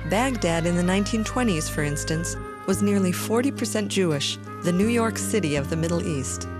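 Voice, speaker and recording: Female documentary narrator, formal, slightly low. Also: fairly quick, music in the background. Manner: even, formal.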